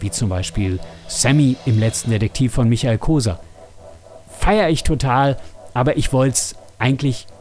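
A man's voice: medium pitch, darkish tone. Male podcast host and writer, informal behind-the-scenes chat, English loanwords, music underneath. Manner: informal, sprinkles English words into his talk. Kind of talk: casual behind-the-scenes talk to listeners.

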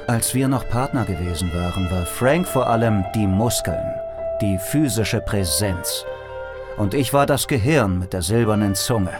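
An actor playing a weary, sardonic detective who narrates his own thoughts in a dry, measured way in an audio drama. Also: fairly low pitch, animated. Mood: brooding, sardonic. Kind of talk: scripted first-person audio drama narration. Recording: music behind the voice. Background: music.

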